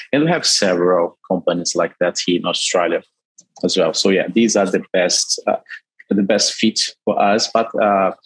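A man from Brazil with a Brazilian Portuguese accent, a company CEO answering interview questions haltingly with frequent restarts. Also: sits low, slightly rough, dry recording, poor audio. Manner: halting, many restarts and fillers.

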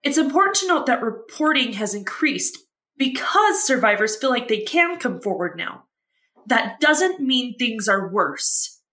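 A woman playing a measured, articulate talk show host who questions and challenges in a podcast drama. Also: a little high, sing-song, fairly bright tone, roomy sound. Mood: measured, challenging. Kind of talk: fiction podcast drama, broadcast interview.

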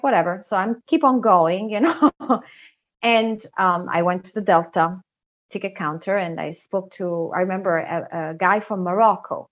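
A woman from Italy with an Italian accent, talking conversationally as an interview guest and telling stories. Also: lowish voice. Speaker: guest, international recruitment director. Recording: slightly dull, lo-fi sound.

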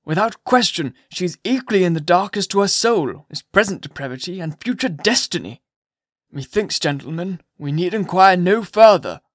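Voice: mid-range voice, animated, normal speed, dry recording, clean studio sound, pitched above the performer's own voice, natural voice. A reader voicing a stern, indignant old Puritan magistrate who speaks pompously.

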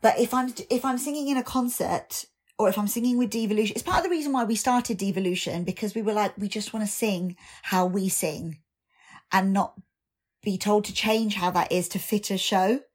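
Candid raspy-voiced woman. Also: medium pitch, brisk. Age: 30s. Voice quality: slightly raspy voice. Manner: candid, reflective, with frequent ums and restarts. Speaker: singer and actor. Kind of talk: podcast interview.

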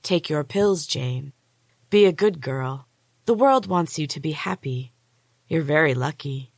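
A woman's voice: sits low, animated, measured pace, gravelly, no room sound, full character voice. A woman voicing a female clinic worker in an audiobook, syrupy sweet and condescending, with clenched rage underneath. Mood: controlled anger.

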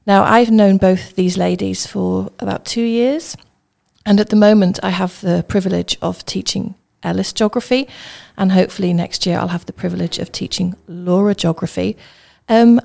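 A woman's voice: mid-range voice, gravelly, dark tone. A woman, a teacher, hosting an interview podcast. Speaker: host, school teacher.

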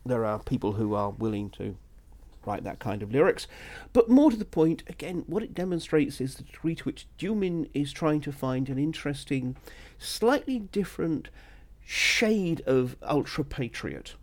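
A man's voice: medium pitch, sing-song, normal speed, darkish tone. A man talking through political analysis as a solo podcast host, conversational and discursive, with asides and self-corrections.

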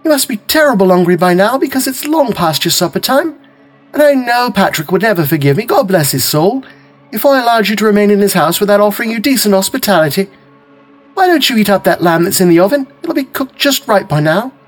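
A man voicing a grieving young housewife who is secretly cunning, speaking in a coaxing, falsely gentle way with a husky edge. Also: sits high, big pitch swings, a little fast, faint music behind, voice pushed up. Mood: sweetly pleading.

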